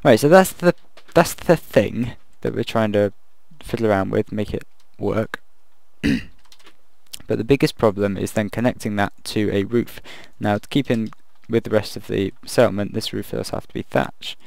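A man casually commenting while he builds in a video game, thinking aloud and rambling, his voice croaky from the late hour. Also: fairly low pitch, normal speed, darkish tone, no room sound. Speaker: gaming video creator.

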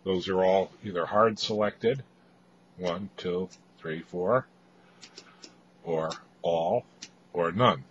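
Low-voiced man explaining gear, muffled audio. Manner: halting, technical explaining with frequent hesitations. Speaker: amateur radio hobbyist presenter. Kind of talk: off-the-cuff equipment walkthrough. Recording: dull lo-fi audio, faint background noise.

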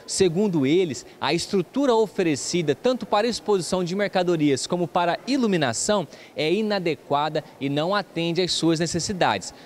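A Brazilian man working as a news reporter, delivering a scripted local report. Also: high voice. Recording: clear background ambient noise.

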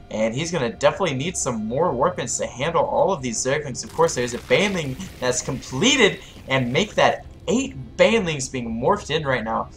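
Male esports caster, slightly hoarse, excitable, faint music under. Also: mid-range voice. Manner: rising excitement at big plays. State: losing his voice. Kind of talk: live game commentary.

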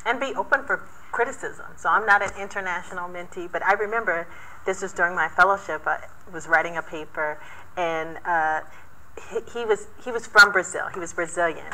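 A woman scientist telling a story on a panel in a conference room, animated and laughing easily. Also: on the low side, brisk, slight background noise, rough recording. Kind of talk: panel discussion.

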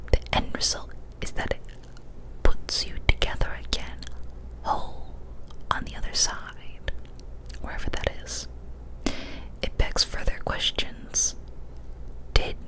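A young woman reads a horror story in a soft, breathy ASMR whisper, slowly and deliberately, close to a studio microphone. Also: rough recording. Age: young adult. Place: small decorated indoor room. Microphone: large studio condenser mic, up close.

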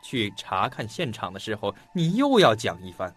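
A man's voice: on the low side, huge pitch swings, moderate pace, fairly bright tone, husky, full character voice. A man acting in an audio drama as an impatient, skeptical detective, with a blunt, probing, theatrical delivery. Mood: impatient, dismissive. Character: impatient, skeptical male detective questioning a colleague. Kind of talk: Chinese audio drama acting, interrogative dialogue. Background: faint background music.

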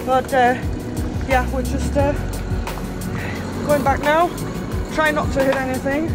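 A woman's voice: high-pitched, steady tone, measured pace, loud music behind the voice. A woman in her thirties hosting a sailing vlog, talking casually to a camera held at arm's length and laughing often. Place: outdoor market and sailboat.

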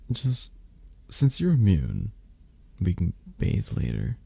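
A man playing a flirty, possessive but tender mafia boss in an intimate romantic ASMR roleplay, murmuring slowly and hesitantly in a tired, husky voice. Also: warm tone, no room sound, exaggerated voice. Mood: flirty, coaxing. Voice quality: low, husky, gravelly. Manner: slow, hesitant, murmured endearments with pauses. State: tired, drowsy. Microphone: close microphone.

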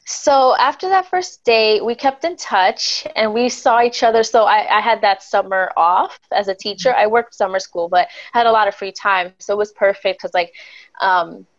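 A woman's voice: mid-range voice, normal speed, dry recording. A woman in her twenties, born in the Dominican Republic and raised in the Bronx, telling stories as a guest on a video-call podcast in a candid, bubbly way with frequent laughs, speaking into an earbud mic at home. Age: 20s. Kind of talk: casual storytelling on a video call. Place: small home bedroom indoors. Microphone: wired earbud mic on a video call. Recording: dry, dull lo-fi video call audio.

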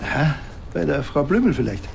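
An actor playing a dry, laconic small-town police detective with a Bavarian accent. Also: male voice, a little high, fairly quick, creaky, background noise, exaggerated voice. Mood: deadpan. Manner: deadpan, laconic. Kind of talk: naturalistic TV crime comedy acting.

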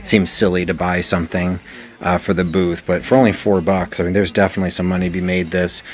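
Low-voiced chatty man browsing antiques. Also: flat delivery, fast talker. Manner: chatty, thinking aloud with fillers and small laughs. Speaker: antiques reseller vlogger. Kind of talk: casual shopping vlog narration. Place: indoors in an antique auction shop.

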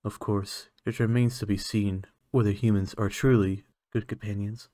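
A man playing an aloof, condescending alien scientist in an ASMR roleplay, speaking in a clinical, measured, haughty manner. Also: on the low side, fairly even intonation, mellow, dry recording, studio quality, natural voice. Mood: clinical, musing. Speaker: voice actor.

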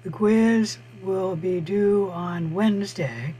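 A middle-aged man with a hoarse voice, teaching a college biology class on a video call, speaking haltingly with frequent pauses. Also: sits high, warm tone, a little hiss or hum, poor audio. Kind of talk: online lecture over screen share. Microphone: computer mic on a video call.

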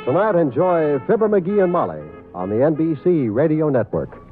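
A man's voice: a little high, animated, moderate pace, over loud music. A man announcing in a scripted 1950s radio public service style. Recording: tinny vintage radio broadcast recording.

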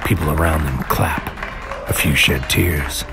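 An actor playing a brooding man on a surreal mission, narrating in clipped, poetic, deliberate phrases like a noir audio drama. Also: a bass voice, steady tone, creaky, exaggerated voice. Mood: brooding, intense. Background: music.

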